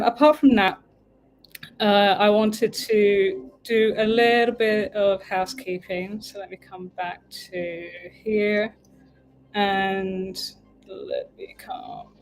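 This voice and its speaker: A woman's voice: medium pitch, a little hiss or hum, poor audio. A woman from the UK with an English accent, hosting a casual livestream as a tarot reader, chatting in a meandering way and breaking off to fix her stream. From United Kingdom.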